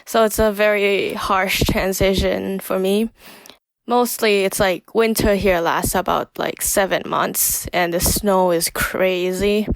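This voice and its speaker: A young woman speaks English as a guest, unhurried and giggly. Her voice has a gravelly edge, recorded dry and close. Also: mid-range voice, steady tone, no echo, low-quality recording. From Thailand. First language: Thai. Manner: giggly, frequent laughs and "like" fillers. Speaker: podcast guest. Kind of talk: casual interview chat.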